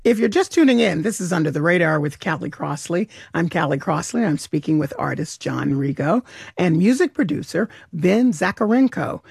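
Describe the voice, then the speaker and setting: A woman radio host with a deeply gravelly, husky voice, asking her guests questions in an easy conversational way. The studio sound is dry and close, with no room around her. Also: no room sound. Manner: conversational, warmly probing questions with asides. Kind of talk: public radio interview.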